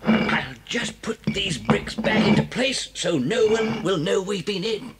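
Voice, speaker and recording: Sly, lively father fox. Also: sits high, a little slow. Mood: firm. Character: sly, genial father fox, leader of the raid. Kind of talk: children's audio drama acting. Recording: noisy old cassette tape.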